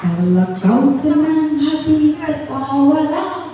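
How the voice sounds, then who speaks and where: Female kids' entertainer, unhurried singing call-and-response, very reverberant hall, music behind her. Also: high-pitched, animated, recorded from a distance, a loud crowd, very noisy. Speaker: children's event entertainer. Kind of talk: sing-song call-and-response with children.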